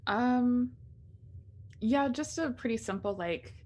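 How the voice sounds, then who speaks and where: A woman's voice: a little high, takes it slowly, darkish tone. A woman playing a tabletop roleplaying game, chatting casually and asking things in a tentative way.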